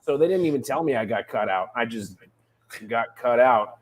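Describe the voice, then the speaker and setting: A man's voice: medium pitch, normal speed. A male actor, a guest on a casual video-call chat, telling comic anecdotes and acting out the people he quotes. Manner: expressive storyteller, acts out the people he quotes. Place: home in Burbank, on a video call.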